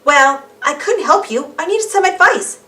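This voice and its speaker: A woman's voice: extremely high pitch, lively intonation, unhurried, pushed and bright, recorded from a distance, pitched above the performer's own voice, exaggerated voice. A woman voicing a casual, helpful schoolboy best friend in a storybook, offering easygoing advice. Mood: sheepish.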